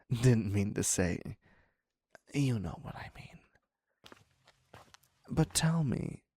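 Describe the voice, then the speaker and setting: A man's voice: medium pitch, breathy edge, dry recording, full character voice. A man playing a theatrical, clingy serial killer in an audio roleplay, wry and mock-courteous as he dotes on his captive. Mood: pleading, gentle. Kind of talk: scripted dark romance audio roleplay.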